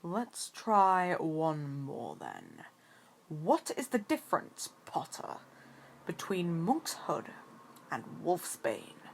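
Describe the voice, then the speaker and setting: A woman voicing a stern, sour male potions professor in a storybook reading, with slow, drawn-out, curt phrasing. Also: sits low, huge pitch swings, gravelly, slight background noise, heavily acted. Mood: slow, probing.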